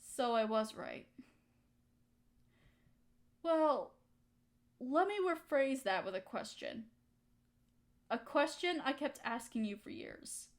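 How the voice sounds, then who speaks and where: A woman acting a hurt young woman softening toward her best friend, in an intimate roleplay audio drama, emotional and halting. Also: sits high, animated. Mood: hurt, confrontational.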